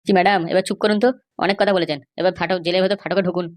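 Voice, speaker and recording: Woman as crooked cartoon police officer, lowish pitch, extremely fast talker, insistent and dismissive. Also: fairly even intonation, close to an everyday voice. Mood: stern. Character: stubborn, bribe-seeking police officer guarding a prisoner. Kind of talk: Bengali comedy cartoon dialogue.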